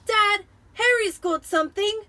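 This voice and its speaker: A woman voicing a spoiled young boy in a storybook reading, loud and whining with demands. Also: very high voice, unhurried, dry recording, voice pushed up, exaggerated voice. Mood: tattling.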